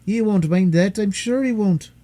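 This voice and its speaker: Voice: mid-range voice, normal speed, warm tone, pitched above the performer's own voice, natural voice. A reader voicing a fretful, easily offended nurse to a young princess in a storybook. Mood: anxious. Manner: fussy, easily offended, scolding. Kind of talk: storybook character voice.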